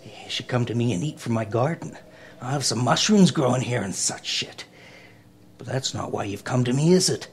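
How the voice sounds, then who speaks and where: An actor playing an eccentric homeless man who thinks he is wise, with a rough, folksy, theatrical manner. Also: mid-range voice, moderate pace, natural voice. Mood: sly, welcoming. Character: eccentric bearded homeless man, self-styled sage. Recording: background noise.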